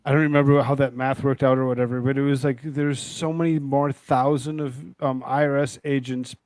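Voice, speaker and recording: A man's voice: medium pitch, level tone, fairly quick, a little mellow, no echo. A man hosting a show with casual banter in an informal, chummy way. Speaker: show host.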